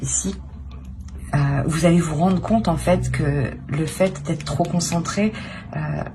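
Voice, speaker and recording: A woman's voice: low-pitched, takes it slowly, background noise. A woman giving a casual tarot reading to her viewers, hesitant, with many fillers and restarts. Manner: hesitant, many "euh" and restarts, soft-spoken asides. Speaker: tarot reader.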